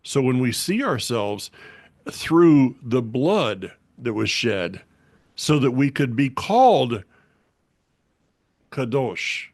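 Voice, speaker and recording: A man's voice: mid-range voice, big pitch swings, slow, pauses often, no room sound, studio quality. A man with a Southern US accent hosting a casual faith conversation, folksy and meandering, with lots of restarts. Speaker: podcast host.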